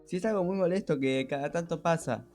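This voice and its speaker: A man's voice: on the high side, fairly quick, a little mellow. A man co-hosting a podcast, explaining things in a conversational way. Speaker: podcast co-host. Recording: music behind the voice.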